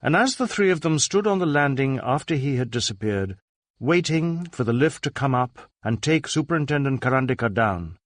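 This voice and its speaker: A man's voice: medium pitch, expressive, moderate pace, no room sound, clean studio sound. A man reading a literary crime story as a scripted narration. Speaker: audiobook narrator.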